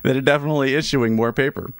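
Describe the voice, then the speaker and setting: A man's voice: medium pitch, steady tone, quick pace, dry recording, clean studio sound. A man in his thirties hosting a day-trading livestream, chatting casually and rambling through restarts and asides. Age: 30s. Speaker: livestream host, day trader.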